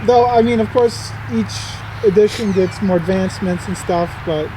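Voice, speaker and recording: Vlog host, male, late 20s, high-pitched voice, informal conversational delivery, noisy vehicle setting. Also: normal speed. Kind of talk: casual chat.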